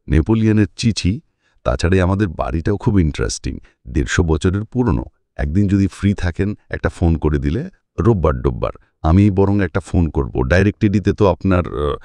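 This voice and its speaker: A man's voice: extremely low pitch, warm tone, dry recording, pitched below the performer's own voice. A man voicing a genial, slightly awkward father who is polite, chatty and hesitant, in an audio story. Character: genial, slightly awkward middle-class father, about Feluda's age.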